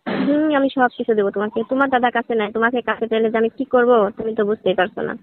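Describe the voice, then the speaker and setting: A woman's voice: a little high, rapid-fire, natural voice. A woman playing a flirtatious, lonely married sister-in-law in a staged phone-call drama, coaxing and teasing as she talks. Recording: tinny narrowband phone line.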